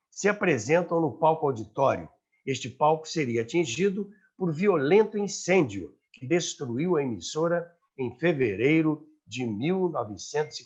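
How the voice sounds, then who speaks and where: A man's voice: medium pitch, husky. A Brazilian man hosting and narrating a scripted documentary, with emphatic, dramatic phrasing. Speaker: host and narrator of a radio history show. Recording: slightly dull audio with background music.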